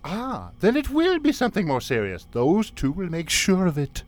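A man's voice: high voice, wildly swooping pitch, normal speed. A man playing an irritable, ambitious starship first officer in a comic radio play. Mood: excited, irritated. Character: irritable, scheming first officer, male, hungry for command. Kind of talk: parody radio play acting.

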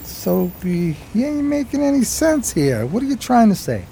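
A man's voice: extremely high pitch, sing-song, normal speed, creaky, warm tone, slight background noise, exaggerated voice. A man playing a broke, eager 1920s New York street drifter in a radio play, talking in hesitant slang. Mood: puzzled. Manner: slangy, hesitant, colloquial storytelling. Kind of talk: radio play acting and first-person narration.

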